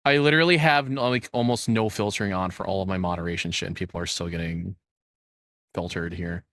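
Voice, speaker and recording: A man with a lowish voice talks casually on a livestream. He is informal and sweary, rambling from one remark to the next. The sound is dry and close. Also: fast talker, a bit bright, no room sound. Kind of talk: casual reaction stream chat.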